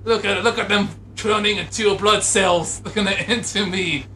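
Comic would-be supervillain inventor, male performer: high-pitched, excitable, rambling, forceful. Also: moderate pace, slight background noise. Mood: gleeful scheming. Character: excitable, scheming male inventor turning squirrel supervillain, easily panicked.

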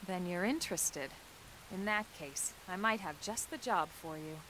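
A woman's voice: on the low side, lively intonation, moderate pace, pushed and bright, slight background noise, poor audio. An actress playing a cool, confident young female soldier in a video game, speaking in a composed, conversational way. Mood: calm, businesslike. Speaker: video game character voice actor. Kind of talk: scripted video game cutscene acting. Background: background music.

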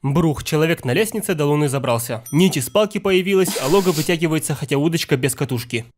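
A man reading a scripted, sarcastic film critique in a brisk, nitpicking way. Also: a little high, animated. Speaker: film critique video narrator. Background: music and film audio.

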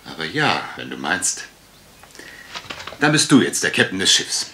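A man's voice: low voice, big pitch swings, a little slow, bright, forceful tone, slight background noise, exaggerated voice. An actor playing an easygoing, obliging male detective in a radio crime drama, conversational and musing.

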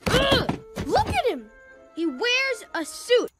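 An actor voicing an indignant, dramatic young boy in an animated film, exclaiming in disbelief. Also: extremely high pitch, slow pace, over loud music, heavily acted. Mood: outraged. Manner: exclamatory, incredulous. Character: indignant, dramatic seven-year-old boy, big brother.

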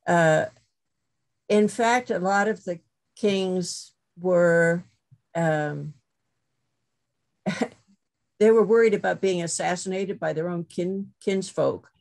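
A middle-aged woman professor giving a thoughtful webinar talk over a video call, pausing with many fillers and restarts. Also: lowish voice, vocal fry, darkish tone. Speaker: guest lecturer, professor.

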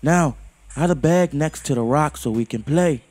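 A man's voice: fairly high pitch, moderate pace, darkish tone, voice pushed up, lightly acted. A man voicing a sensible, firm boy in a children's storybook, speaking instructively.

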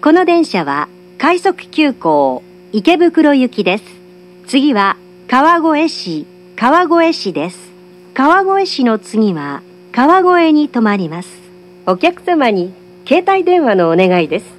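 A woman's voice: high voice, big pitch swings, slow. A woman giving formal, polite, carefully enunciated scripted train announcements, recorded from a carriage speaker.

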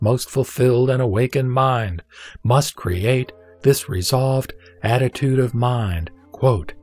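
A man playing the Buddha as a serene, solemn teacher, reciting scripture in measured, deliberate phrases for an audio drama. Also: mid-range voice, darkish tone. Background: music.

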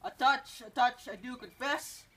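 Young guy doing excitable vengeful duelist, super high voice, backyard shakespeare, bit of noise. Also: a little slow. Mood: combative. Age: young adult. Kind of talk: amateur Shakespeare dramatic performance. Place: outdoors in a residential backyard. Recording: distant, slightly noisy outdoor camera microphone.

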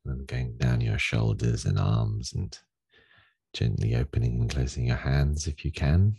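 Very deep, gravelly male meditation guide. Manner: gentle, unhurried instructions with long pauses. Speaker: meditation teacher leading a daily group session.

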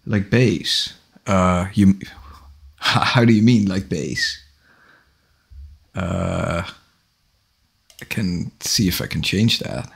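A man in his forties hosting a casual livestream from a small home office, talking into a studio microphone in a relaxed, rambling way with a low voice. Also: slow, pauses often. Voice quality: low husky voice. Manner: relaxed, rambling, self-correcting. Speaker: livestream host. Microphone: large studio microphone on boom arm, headphones.

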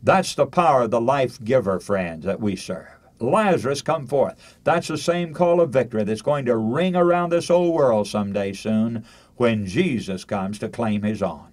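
An older man delivers a radio sermon in a mid-pitched voice with very lively, swooping intonation. He speaks emphatically, building points through repetition and rhetorical questions, and reads scripture aloud. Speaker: radio preacher.

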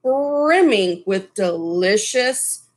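A middle-aged woman reading a children's story aloud, pausing for asides to her listeners. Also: medium pitch, expressive. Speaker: storybook reader. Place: small furnished room at home.

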